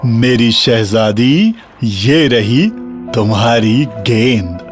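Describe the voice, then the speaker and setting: A man voicing a pleading, demanding talking frog in a children's fairy-tale cartoon, with an insistent, drawn-out delivery. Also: mid-range voice, soft background music.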